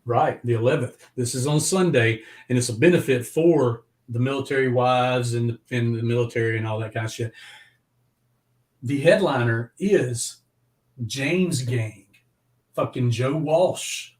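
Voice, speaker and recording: A man hosting a casual live music chat, talking in an excitable, slangy way with plenty of swearing. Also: mid-range voice, a little slow, slightly rough. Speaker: music show host and band member.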